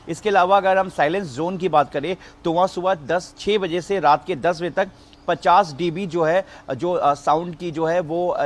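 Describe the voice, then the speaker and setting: A man giving an unscripted live news report, explaining in a halting, repetitive way. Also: fairly high pitch.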